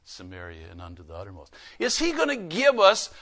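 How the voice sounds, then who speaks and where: A man's voice: fairly low pitch, wildly swooping pitch, brisk, fairly bright tone. A man, probably middle-aged, preaching in a folksy, emphatic way with personal stories and rhetorical questions. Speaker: preacher and missionary. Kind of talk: sermon with personal anecdotes.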